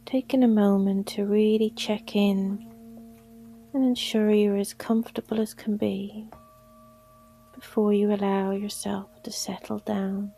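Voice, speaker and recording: Very slow, hushed woman guiding meditation. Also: mid-range voice, little pitch movement, slightly rough. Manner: soothing, hushed, gentle. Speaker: yoga nidra guide. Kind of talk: guided sleep meditation. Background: gongs and singing bowls.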